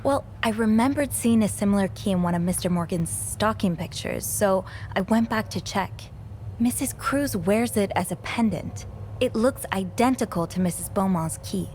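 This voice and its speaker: An earnest young female sleuth, played by an actress in a narrative video game, talks at a middle pitch. She sounds curious but modest, thinking her way through sentences with slight hesitation. Faint noise sits behind the voice. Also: normal speed, darkish tone, natural voice. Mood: thoughtful, hesitant. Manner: hesitant, thoughtful, understated. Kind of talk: naturalistic video game acting.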